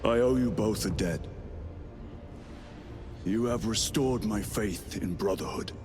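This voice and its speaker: An actor playing a dutiful, stoic veteran soldier in a video game cinematic, speaking formally, respectfully and earnestly. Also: male voice, fairly low pitch, slow, background noise. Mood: solemn, heartfelt. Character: dutiful, stoic veteran male warrior lieutenant. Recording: cinematic music behind.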